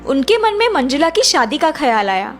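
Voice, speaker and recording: A woman's voice: high voice, sing-song, normal speed, faint music behind. A woman narrating a scripted story for children.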